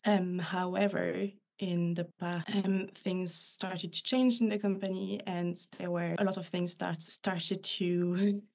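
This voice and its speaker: A woman's voice: fairly low pitch, gravelly, darkish tone. A woman with a French accent, a guest on a podcast interview, speaking in a reflective, thoughtful way. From France. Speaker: guest, new coach.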